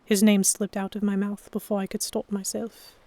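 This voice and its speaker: A woman narrating a first-person historical romance story with careful, expressive, scripted delivery. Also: medium pitch, normal speed. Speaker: narrator and lead heroine.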